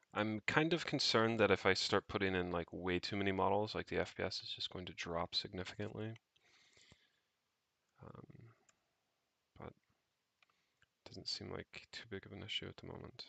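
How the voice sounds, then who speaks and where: Male programmer streamer, lowish pitch with vocal fry, halting and muttered, casual work-along commentary. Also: fairly bright tone, no room sound. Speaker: programmer streaming own project. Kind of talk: casual think-aloud coding commentary.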